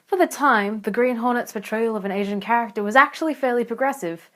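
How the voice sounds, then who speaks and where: A woman's voice: on the high side, fast talker, a bit bright. A young woman presenting a scripted analysis as a student narrator. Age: young adult. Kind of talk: scripted video essay narration.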